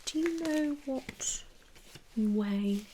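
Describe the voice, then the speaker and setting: British female, ASMR doctor: soft whisper, very slow delivery, polite and soothing, slight background noise. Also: high voice, animated. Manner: gentle, reassuring, polite. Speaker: ASMR performer playing a doctor. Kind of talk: ASMR medical role-play.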